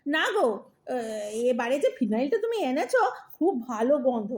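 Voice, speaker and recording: Actress as elderly wife, very high pitch, exasperated, scolding, sarcastic, mock-sweet, comic audio drama. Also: female voice, big pitch swings, moderate pace. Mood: mock-sweet coaxing.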